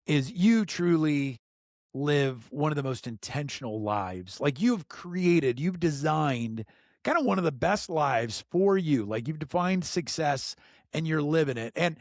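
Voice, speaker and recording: A middle-aged man hosting a podcast in casual chat, enthusiastic, laughing often and filling pauses with lots of ums and uhs. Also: fairly high pitch, no echo, studio quality. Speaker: podcast host.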